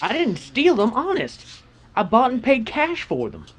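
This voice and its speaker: A man's voice: extremely high pitch, huge pitch swings, unhurried, exaggerated voice. A man playing an earnest young rancher pleading his case in a radio western. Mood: desperate, pleading. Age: young adult.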